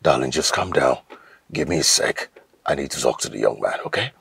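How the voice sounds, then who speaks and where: Very deep, gravelly father. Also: expressive, a little fast, a bit bright, no echo, full character voice. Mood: concerned, disbelieving. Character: calm, stern father and husband, disbelieving. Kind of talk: film drama acting.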